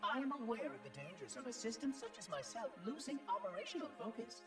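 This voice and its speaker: A fussy artificial caretaker from a video game, high-pitched and wildly sing-song. The diction is formal, verbose and precise, like an eccentric machine reciting its logs, with music playing behind. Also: female voice, sing-song, fast, roomy sound, far from the mic. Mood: troubled, bored. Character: eccentric robotic installation monitor, fussy, bored, verbose. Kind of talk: scripted game cutscene monologue.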